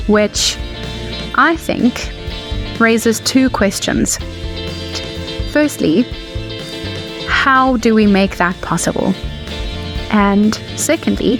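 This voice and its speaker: Woman hosting a podcast over soft music. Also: mid-range voice. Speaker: podcast host and interviewer. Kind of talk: scripted introduction and interview questions.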